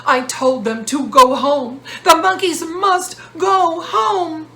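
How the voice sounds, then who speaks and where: A woman's voice: very high-pitched, slow pace, bright, forceful tone, pitched above the performer's own voice, exaggerated voice. A woman voicing a flustered, indignant male cap peddler in a storybook, speaking emphatically. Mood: upset. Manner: exclamatory, emphatic. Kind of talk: storybook character voice.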